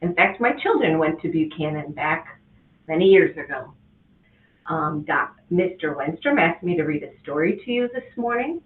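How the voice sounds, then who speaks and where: A woman reading a rhyming picture book aloud to children as a guest reader, with an expressive, sing-song delivery. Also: fairly low pitch. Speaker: guest reader, school administrator. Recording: tinny, echoey, from a distance.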